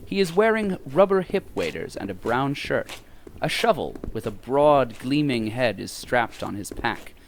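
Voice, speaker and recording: Dramatic, animated man as radio drama narrator. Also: mid-range voice, measured pace, a little hiss or hum, poor audio. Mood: mock-epic suspense. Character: grandiose storyteller narrator of a satirical dystopian tale. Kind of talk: scripted audio drama narration.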